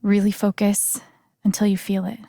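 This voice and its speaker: An actress playing an earnest, gentle young woman in a video game, speaking softly and haltingly with sincere, naturalistic delivery. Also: mid-range voice, monotone, creaky, dry recording, clean studio sound, natural voice. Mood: earnest, guiding.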